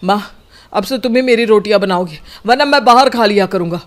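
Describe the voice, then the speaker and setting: A man's voice: sits high, fairly quick, close to an everyday voice. A man playing a sulky, stubborn younger brother in a cartoon family drama. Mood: indignant.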